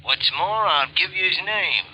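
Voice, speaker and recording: A hard-boiled 1940s radio gangster, extorting and threatening. The voice is very bright and cutting, pitched fairly high for a man. He talks in tough street slang. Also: expressive, normal speed, slightly rough, full character voice, rough recording. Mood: menacing, cocky. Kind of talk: 1940s radio crime drama acting.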